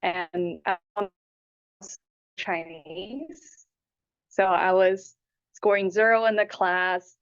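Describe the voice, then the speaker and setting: A woman's voice: mid-range voice, slow pace, pauses often. A woman in her twenties from Taipei and Hong Kong, earnestly answering interview questions in a conversational way. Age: 20s. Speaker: interviewee, applicant. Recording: dull, lo-fi audio.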